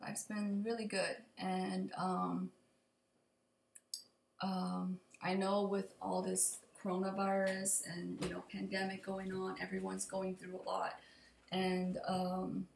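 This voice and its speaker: A young woman with a slightly raspy voice from allergies gives a casual, unscripted update to camera in a hesitant, rambling way. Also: mid-range voice, monotone, takes it slowly, slight background noise. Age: young adult. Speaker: vlogger. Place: indoor open kitchen and living room. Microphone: computer mic at desk distance. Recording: echoey room, computer mic.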